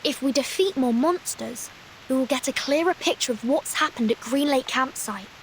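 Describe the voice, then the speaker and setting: An actress playing a calm, reserved young female leader with a measured, polite manner in a video game. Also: high voice, slight background noise, natural voice, poor audio. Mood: calm, resolute. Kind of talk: English video game character acting.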